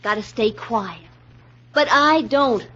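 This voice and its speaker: An American actress playing a restless, discontented young wife in an old-time radio crime drama, speaking in a plaintive, slangy, insistent way. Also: female voice, on the high side, expressive, slow pace, pushed and bright, full character voice. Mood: fed up, wheedling. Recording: muffled, hissy old radio recording.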